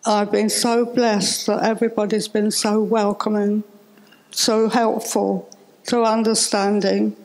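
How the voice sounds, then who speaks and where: Woman, late eighties, unhurried creaky voice, halting and reflective, sharing her testimony into a handheld mic. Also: medium pitch, little pitch movement, darkish tone, rough recording. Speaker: church member giving testimony. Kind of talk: personal testimony in a live interview. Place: on a church stage. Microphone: handheld microphone held close.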